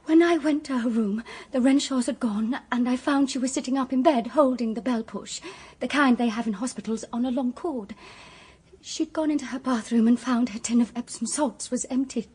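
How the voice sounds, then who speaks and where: A timid, anxious young female companion in a radio play. The voice is high and breathy, and the delivery is hesitant and imploring, that of an earnest, frightened innocent. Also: moderate pace, a little mellow. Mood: anxious, recounting. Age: young adult. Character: frightened, earnest 28-year-old female companion accused of murder. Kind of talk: radio drama acting.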